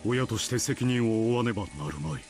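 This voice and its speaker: A man's voice: fairly low pitch, lively intonation, rapid-fire, darkish tone, soft background music, natural voice. An actor playing a grave, dignified middle-aged father and family head in a Japanese visual novel, speaking formally and with weight. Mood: solemn.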